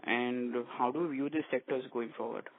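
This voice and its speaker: A man's voice: mid-range voice, steady tone, normal speed. A man asking a formal follow-up question as an analyst on a conference call. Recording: tinny narrow phone line.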